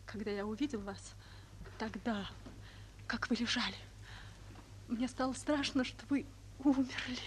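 A devoted young nurse in love, acted by a woman in a wartime radio play. She speaks slowly in a high, soft, earnest voice. The old recording carries faint noise. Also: lightly acted. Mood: tender. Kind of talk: Soviet radio drama acting.

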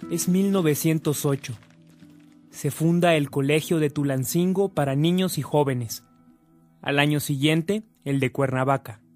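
A man's voice: fairly high pitch, unhurried, a little mellow, soft background music. A man with a Mexican accent reading scripted narration for a radio drama.

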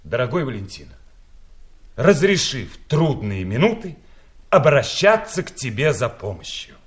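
An actor playing a maudlin older company director, speaking haltingly and sentimentally in a satirical radio play. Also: male voice, mid-range voice, sing-song. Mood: mournful. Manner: halting, quavering sentimental speech. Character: maudlin trust director, older man, theatrically grieving.

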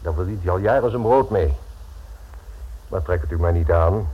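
An actor playing a haughty, condescending society lawyer in a radio play, formal and scornful in manner. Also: male voice, deep voice, normal speed, breathy edge. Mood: dismissive. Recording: muffled old radio recording.

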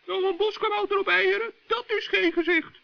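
A man playing a plucky, bossy little forest gnome in a children's radio play, excitable and repeating himself with stammers. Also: moderate pace, full character voice. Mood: bossy, indignant. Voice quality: high, squeaky, creaky. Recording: muffled old radio play recording.

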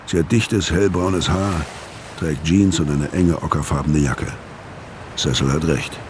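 An actor playing a weary, middle-aged ex-convict who narrates and speaks in a radio crime drama, laconic and guarded, with a gravelly voice. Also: male voice, extremely low pitch, fairly even intonation, a little slow, background noise. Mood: laconic, brooding. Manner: laconic, understated. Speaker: narrator and lead character. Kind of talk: German radio crime drama, first-person narration and dialogue.

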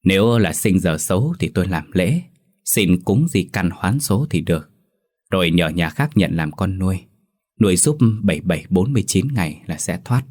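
A man voicing a sly old village sorcerer in a story, smug and deliberate as he speaks. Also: low-pitched, expressive, darkish tone. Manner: slow-tempered, smug, deliberate. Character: sly, unhurried old village sorcerer delivering dire verdicts.

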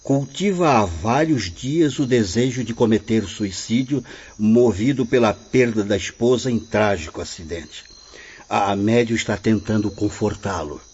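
A man playing a calm, benevolent spirit guide in a radio drama, speaking in a solemn, formal, instructive way. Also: fairly low pitch, moderate pace, lightly acted. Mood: solemn, encouraging. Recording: muffled, lightly noisy radio play audio.

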